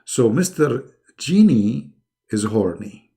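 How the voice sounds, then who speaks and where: Gravelly, sarcastic man, English not his first language. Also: medium pitch, expressive, takes it slowly, dark tone. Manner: sarcastic, mocking, repeats phrases for emphasis. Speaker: host of a religious debate stream. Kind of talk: live debate stream.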